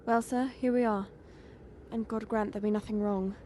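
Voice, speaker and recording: A woman's voice: medium pitch, steady tone, moderate pace, dark tone, a little hiss or hum, natural voice. A woman playing a loyal, frightened servant in a radio drama, deferential but urgent and jittery. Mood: tense. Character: frightened, loyal female servant, fierce when pressed.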